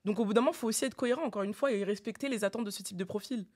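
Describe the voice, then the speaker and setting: A woman in her twenties speaks very quickly at an ordinary pitch. She is a conversational panel guest, explaining and qualifying her points in a casual debate. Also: a little mellow. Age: 20s.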